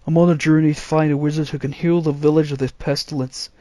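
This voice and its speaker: A man's voice: on the high side, level tone, fast, mellow, dry recording, natural voice. Guy doing brooding young quest hero from a storybook, assertive and earnest, dry close sound. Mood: resolute, earnest. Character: Jethro, resolute, brooding, idealistic young man on a quest.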